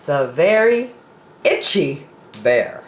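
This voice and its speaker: Slow, animated woman storyteller in an echoey room. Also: deep voice, slight background noise. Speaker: storyteller reading picture books. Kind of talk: expressive read-aloud for young children. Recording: roomy home recording with echo.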